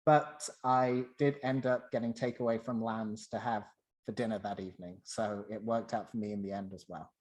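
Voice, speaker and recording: A man's voice: lowish voice, steady tone, moderate pace, darkish tone, low-quality recording. A man from the UK with a British accent, presenting a semi-scripted personal anecdote.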